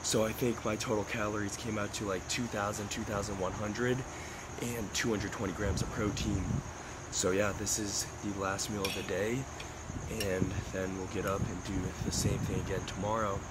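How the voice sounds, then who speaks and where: A young man vlogging casually about his fitness day, hoarse from losing his voice, with a stuffy nose and a laid-back manner full of fillers. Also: on the low side, little pitch movement, normal speed, very noisy. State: hoarse, lost voice. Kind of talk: casual vlog narration. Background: music.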